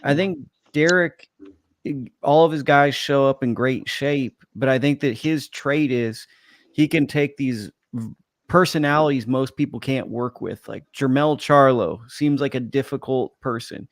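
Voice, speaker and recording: Relaxed, analytical man, dry sound. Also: medium pitch, a little slow, no room sound. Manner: relaxed, analytical, reasons things out at length. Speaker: podcast panelist. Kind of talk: casual panel chat.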